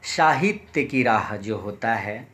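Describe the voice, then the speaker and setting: A man's voice: expressive, fairly bright tone. A man from Chhattisgarh at an online poetry session, greeting and thanking each listener by name in a formal, respectful manner. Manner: sings high and drawn out, spacing each phrase slowly. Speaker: poet. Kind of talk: sung Hindi poem, then spoken greetings at an online poetry session.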